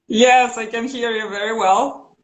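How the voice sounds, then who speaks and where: A woman's voice: a little high, steady tone, a little slow, low-quality recording. A middle-aged woman from Russia with a Russian accent, a native Russian speaker, chatting casually as an interview guest who teaches English.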